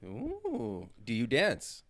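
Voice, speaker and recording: A man's voice: medium pitch, huge pitch swings, very slow, no echo. A man co-hosting a podcast, asking playful, probing questions in casual chat. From mainland United States. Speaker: podcast co-host.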